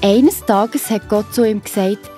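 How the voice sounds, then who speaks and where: A woman's voice: mid-range voice, a little slow, dark tone. A woman with a Swiss accent telling a Bible story to children as the narrator. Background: music.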